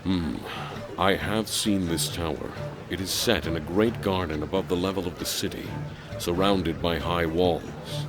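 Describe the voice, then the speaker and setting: A tall, powerful young barbarian with a notably deep voice. He speaks in blunt, stern, deliberate phrases in a fantasy audio drama, with music underneath. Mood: stern curious.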